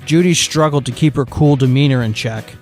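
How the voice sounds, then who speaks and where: A man's voice: medium pitch, a little fast, a little mellow. A man reading fan fiction as narrator, in scripted storytelling style. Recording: music under the voice.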